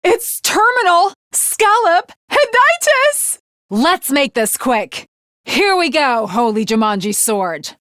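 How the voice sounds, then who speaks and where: A woman's voice: high-pitched, very animated, slow, fairly bright tone, no echo, studio quality, exaggerated voice. A woman voicing a fervent young samurai maiden in an anime video game, with archaic, formal and theatrical diction. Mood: proud, zealous.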